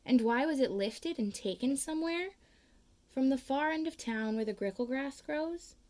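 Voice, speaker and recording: A woman reading a children's picture book aloud, slow and expressive, with big swings in pitch on key words.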